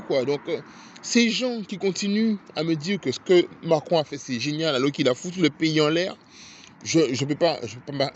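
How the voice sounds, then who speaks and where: Indignant high-voiced man with an accent. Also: lo-fi sound, a little hiss or hum. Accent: non-native French accent. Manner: indignant, heated, stammering repetitions. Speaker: vlogger. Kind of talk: angry political rant to camera.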